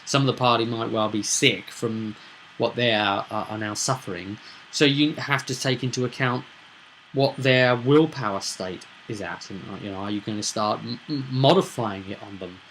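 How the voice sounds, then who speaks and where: Guy, game master doing a chatty explainer, bright forward voice, rambles a bit and checks in with little questions. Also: fairly low pitch, moderate pace, a little hiss or hum, low-quality recording. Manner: discursive, conversational, with rhetorical tag questions. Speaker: game master, vidcast host. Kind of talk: informal explanatory monologue on tabletop roleplay.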